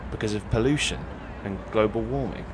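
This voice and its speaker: Man acting a low-voiced, hesitant young protester. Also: a little fast, noisy background, lightly acted. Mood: earnest, sheepish. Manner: tentative, agreeable, earnest. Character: hesitant, gullible, apologetic young male protester. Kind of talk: naturalistic comic audio drama dialogue.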